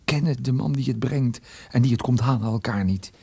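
An actor playing a wry, musing private detective in a radio play, speaking in a hesitant, ironic, conversational way. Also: male voice, medium pitch, a little fast, gravelly, darkish tone, slightly rough. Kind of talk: radio drama dialogue.

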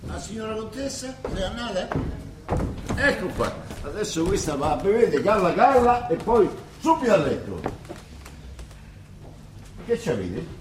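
An actor playing a grumbling, familiar, back-talking servant with a Roman accent in a theatrical radio drama. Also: male voice, high-pitched, big pitch swings, unhurried, long pauses, echoey room, distant mic, background noise. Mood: fussy, deferential. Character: cheeky, grumbling male servant of a monsignor. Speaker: stage actor.

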